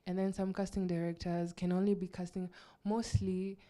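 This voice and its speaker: A woman's voice: on the low side, level tone, moderate pace, dark tone. A young Kenyan woman with a Kenyan accent, an actress chatting frankly as a guest and laughing often. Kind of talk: casual interview chat.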